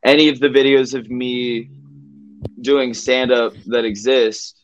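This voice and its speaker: A man telling a story as a guest comedian on a casual podcast, halting and repeating himself as he acts out exchanges. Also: medium pitch, fairly even intonation, highs cut off.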